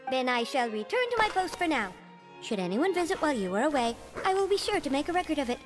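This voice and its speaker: A woman's voice: high-pitched, very animated, fast talker. A woman playing a dutiful, easily flustered female court assistant in a video game, formal and polite in manner. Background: game music.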